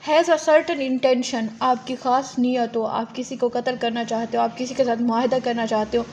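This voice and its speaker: High-pitched Pakistani woman lecturing on a noisy recording. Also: fairly even intonation, normal speed, darkish tone. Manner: didactic, often asks and answers own questions. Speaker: law lecturer. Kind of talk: explanatory lecture, reading provisions aloud and explaining them.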